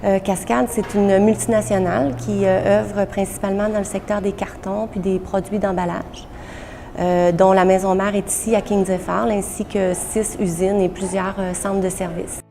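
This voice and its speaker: A woman speaking in an interview as a human resources director, measured and hesitant with frequent fillers. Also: mid-range voice, little pitch movement, creaky, dark tone. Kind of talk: on-camera interview. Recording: echoey room with faint background noise.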